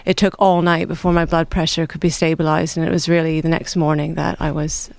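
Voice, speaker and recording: Canadian-accented author, calm and reflective, clean and resonant delivery. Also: medium pitch, creaky, dry recording. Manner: measured, reflective. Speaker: author guest. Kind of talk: interview answers.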